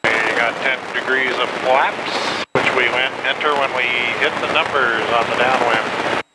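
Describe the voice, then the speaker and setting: A male pilot with a medium-pitched voice gives radio calls and flight commentary. His phrasing is clipped and procedural. Very loud engine noise fills the small plane's cockpit around him. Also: fairly even intonation, measured pace. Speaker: private pilot.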